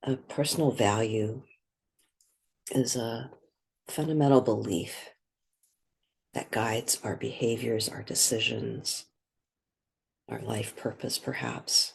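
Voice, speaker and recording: Slow, husky woman guiding meditation. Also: a bass voice, steady tone. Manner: soft-spoken, pausing between short phrases. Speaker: meditation guide. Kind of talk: guided meditation.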